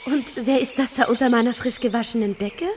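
An actress playing a young fairy-tale princess keeping house, wary, fussy and stubborn, in a prim, guarded manner for a children's radio play. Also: female voice, fairly high pitch, steady tone, a little fast, a little mellow, soft background music, natural voice. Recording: slightly distant, faint outdoor-sounding ambience.

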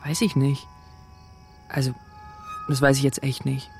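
A teenage boy in a radio drama. He is laconic and a little unsure, with music clearly behind him. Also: mid-range voice, flat delivery, a little slow. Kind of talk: radio play acting.

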